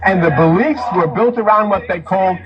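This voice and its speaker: Male lecturer, high register, impassioned and emphatic delivery with repeated phrases, music underneath. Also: brisk, highs cut off. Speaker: lecturer on religion and mysticism. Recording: speech mixed over music.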